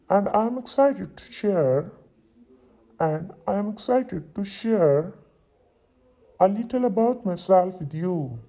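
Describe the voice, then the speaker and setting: A man in his twenties voicing a young London solicitor who introduces himself, saying each sentence twice slowly for learners to repeat. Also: sits high, warm tone, muffled recording, heavily acted. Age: 20s. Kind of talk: slow repeat-after-me drill reading.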